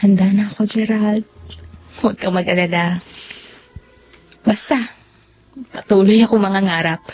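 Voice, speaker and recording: A woman's voice: mid-range voice, fairly even intonation, normal speed, long pauses, over music, close to an everyday voice. An actress with a Filipino accent playing a devoted, hopeful young woman in a radio drama. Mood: earnest, hopeful. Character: devoted, hopeful young expectant mother in unrequited love. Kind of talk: Tagalog radio drama acting. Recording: dull, lo-fi radio sound.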